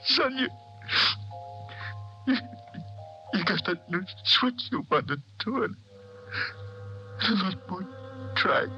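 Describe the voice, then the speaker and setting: A man playing a distraught, grieving father in a 1940s radio drama, halting, trembling and emotional. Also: high-pitched, lively intonation, pushed and bright, lo-fi sound, exaggerated voice. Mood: anguished, weeping. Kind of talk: 1940s radio melodrama acting. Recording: vintage 1940s radio, music behind.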